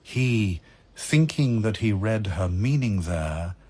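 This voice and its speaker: A man narrating dramatic verse as a solemn, measured storyteller. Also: on the low side, expressive, darkish tone.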